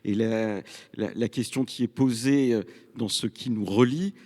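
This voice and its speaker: A man, a senior official on a debate panel, answering in a halting way with many pauses and repeats. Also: medium pitch, darkish tone. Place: public debate in a hall. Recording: reverberant hall sound.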